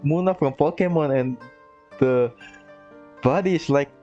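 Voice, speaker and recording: Deep, slow, mellow-voiced woman commenting on a game, game music faint behind. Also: dull audio. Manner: laid-back, reacts with amused asides. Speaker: gaming streamer. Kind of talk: casual live commentary over gameplay.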